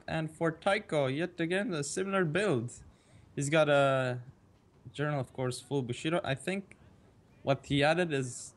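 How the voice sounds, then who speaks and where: A man in an ordinary middle register co-commentates a strategy game match. He talks in a relaxed, laid-back way as he goes over army builds. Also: mid-range voice.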